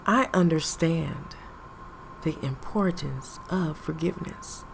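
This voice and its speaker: A woman reciting affirmations in a meditative style, with a creaky voice. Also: sits low, slow pace. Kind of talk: slow spoken affirmations, meditation. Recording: ambient noise behind voice.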